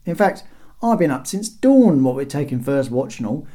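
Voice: medium pitch, sing-song, brisk, mellow, natural voice. A narrator playing a cheeky male city guard in an audiobook, chatting offhandedly in colloquial British banter. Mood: breezy, nonchalant.

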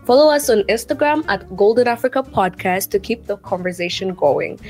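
A woman's voice: mid-range voice, animated, fairly quick, a little mellow, faint music behind. A young woman hosting a podcast, chatty and admiring toward her guest. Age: young adult. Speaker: podcast host. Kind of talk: podcast interview and outro.

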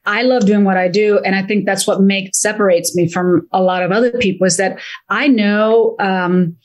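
A woman in her fifties from Italy, a wealth advisor chatting as a guest in an interview, eager and quick, often repeating words as she gets going. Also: medium pitch. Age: 50s. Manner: enthusiastic, quick, repeats words when excited. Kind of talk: casual interview chat.

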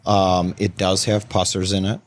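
Low-pitched American man, casual explainer. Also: flat delivery, unhurried. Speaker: guest co-host, bartender.

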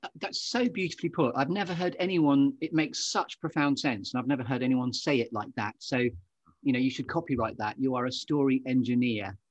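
A man's voice: mid-range voice, fairly quick, no echo, highs cut off. A man hosting an interview podcast, conversational and effusive in his enthusiasm, heard through a call microphone. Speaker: podcast host.

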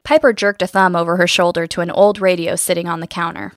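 An actress playing an eager, curious radio host who investigates mysteries, in audio drama dialogue and first-person narration. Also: female voice, fairly low pitch, level tone, quick pace, no echo, studio quality, close to an everyday voice. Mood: measured storytelling.

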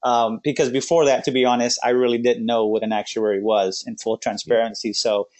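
A man's voice: medium pitch, flat delivery, a little fast, darkish tone. A man hosting a faith podcast, interviewing a guest in a rambling style with many restarts. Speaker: podcast host.

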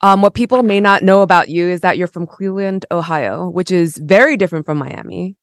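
A woman's voice: lowish voice, a little fast, no echo, clean studio sound. A woman hosting a casual interview, asking questions and reacting in an informal, candid way.

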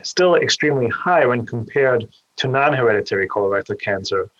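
A man's voice: mid-range voice, lively intonation, brisk, a bit bright, muffled recording. A man from Austria, a guest surgeon, who explains things carefully and often repeats a small word as he starts a point. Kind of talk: explanatory interview answers.

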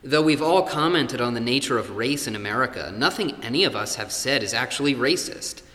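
A man's voice: mid-range voice, animated, brisk, bright, forceful tone. A man playing a self-assured conservative debater in an audio-play panel, articulate and lecturing in manner. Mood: defensive, reasoned. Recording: echoey hall with long reverb.

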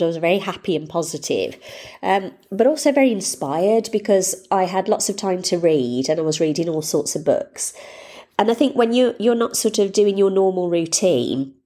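A woman's voice: fairly low pitch, mellow. A middle-aged woman hosting her own podcast, congested with a cold, talking in a chatty, upbeat, rambling way. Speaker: podcast host. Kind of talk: casual solo podcast chat.

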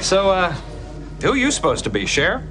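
A man's voice: sits high, huge pitch swings, moderate pace, music in the background. A man playing an earnest middle-aged professor dad in a TV sitcom, firm but awkward. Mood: awkward, friendly. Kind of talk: sitcom acting.

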